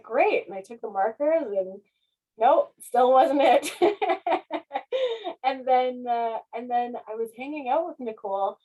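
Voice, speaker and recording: Slow-paced, effusive woman. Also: fairly high pitch, dark tone, poor audio. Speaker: author guest. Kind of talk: casual question-and-answer chat.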